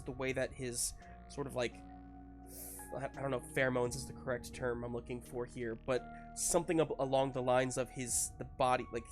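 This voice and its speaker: A man runs a tabletop roleplaying game as game master. His voice sits at a middle pitch, and he improvises narration casually, often searching for words. Faint music plays behind him.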